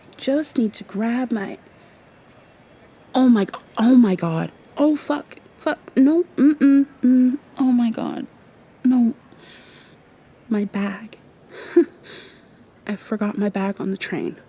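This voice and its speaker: A woman playing a shy, flustered young vampire girl in love in an intimate ASMR roleplay, speaking haltingly and often dropping toward a whisper. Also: fairly high pitch, expressive, a little hiss or hum. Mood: panicked, sheepish. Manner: soft, halting, breathy whispers and nervous laughs.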